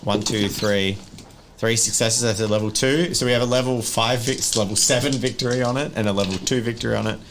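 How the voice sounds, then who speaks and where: A man's voice: mid-range voice, fairly even intonation, fairly quick, a bit bright, a little hiss or hum. A man acting as game master of a tabletop roleplay game, casually explaining rules and vividly narrating gory action.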